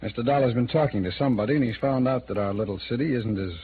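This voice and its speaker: A man acting a smooth-talking but defensive American city attorney in his thirties, in an old-time radio drama. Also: medium pitch, racing, natural voice. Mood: explanatory. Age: 30s. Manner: hesitant, defensive, conversational. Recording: phone-like, noisy old radio recording.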